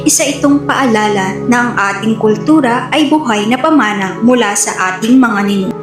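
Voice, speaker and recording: A woman's voice: on the high side, moderate pace, music in the background. A woman with a Filipino accent reading scripted narration for a folk epic dramatization. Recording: echoey room.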